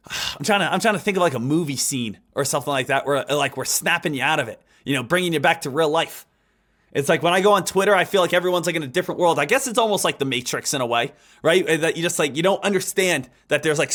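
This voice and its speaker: A man in his thirties with a fairly high voice leads a live trading lesson. He talks with energy and circles back to the same points in a coaching tone. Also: a bit bright. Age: 30s. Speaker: trading instructor.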